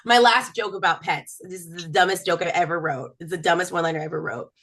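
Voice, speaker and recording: A woman's voice: lowish voice, fast, a little hiss or hum. A woman from Texas doing a self-mocking stand-up comedy set on a video call. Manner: chatty, self-mocking, lively storytelling.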